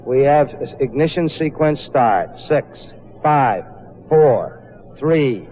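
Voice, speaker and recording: A man's voice: mid-range voice, animated, very slow. An American man giving rocket launch countdown commentary on a muffled, very noisy archival broadcast. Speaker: launch announcer.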